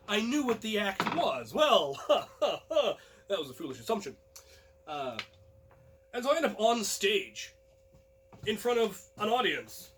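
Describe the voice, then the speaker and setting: Higher-voiced man telling a casual story. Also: a bit bright. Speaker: host and storyteller. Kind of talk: casual first-person storytelling to viewers.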